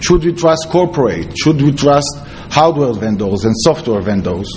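A man with an Israeli accent giving a conference presentation as a security researcher, in halting, non-fluent English. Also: medium pitch, lively intonation, darkish tone, a little hiss or hum. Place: conference talk before an audience.

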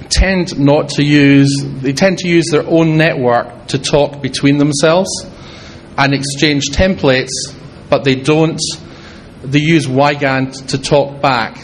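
A man with a Scottish accent giving a fast, halting technical talk to a hall audience, with many restarts and fillers. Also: medium pitch, steady tone, a little hiss or hum. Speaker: conference speaker.

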